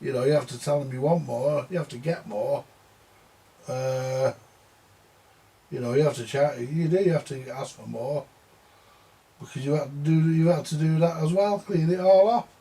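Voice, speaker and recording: A man's voice: fairly high pitch, normal speed, pauses often, dark tone, rough recording. An older man who repairs vintage audio gear, rambling and grumbling in a casual monologue, repeating himself and swearing now and then.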